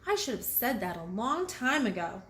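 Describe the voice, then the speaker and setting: A woman voicing a caring, apologetic mother bee in a storybook, gentle and measured. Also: on the high side, sing-song, echoey room, slight background noise. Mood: gentle, sorry.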